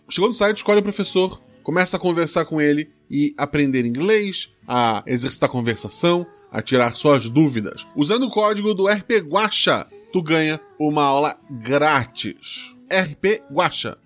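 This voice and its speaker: A man's voice: fairly high pitch, animated, normal speed, hardly any pauses, a bit bright, soft background music. A man from Brazil hosting a tabletop roleplaying podcast, reading an ad and explaining the game rules in a chatty, informal, persuasive way. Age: adult, played RPGs since youth. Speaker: game master and podcast host. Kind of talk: podcast ad read and rules intro.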